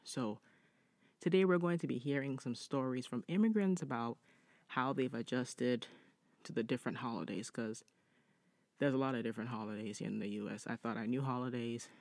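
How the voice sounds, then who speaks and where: A man speaks as a podcast host. His voice has a creaky, gravelly edge and sits in a dry, close sound. The delivery is casual and conversational, like someone sharing stories with regular listeners. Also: medium pitch, moderate pace, darkish tone, dry recording. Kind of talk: casual chatty hosting, reading out listener stories.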